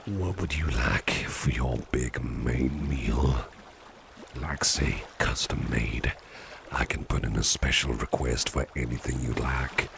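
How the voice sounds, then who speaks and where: ASMR roleplay casting: male actor as attentive, coaxing boss, very low slow voice, noisy background. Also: vocal fry, a bit bright, heavily acted. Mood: attentive, reassuring. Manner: unhurried, coaxing, repeats his phrases. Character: genial, attentive male boss, courting his dinner date.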